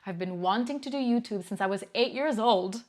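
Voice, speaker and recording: A woman's voice: mid-range voice, a little fast, darkish tone. A woman in her twenties from Italy, answering viewer questions in a chatty, candid knitting vlog at home. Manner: chatty, candid, laughs easily. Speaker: knitting YouTuber. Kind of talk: casual Q&A vlog.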